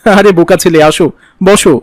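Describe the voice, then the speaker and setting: Man playing a high-voiced, good-natured father. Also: steady tone, brisk, no room sound, lightly acted. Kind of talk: Islamic cartoon dialogue.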